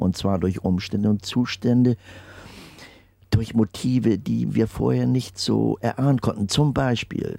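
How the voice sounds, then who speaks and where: An older man giving a slow, deliberate testimony in an interview, his phrases stressed and often trailing off into near-whispers. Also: lowish voice, gravelly, dark tone, no echo.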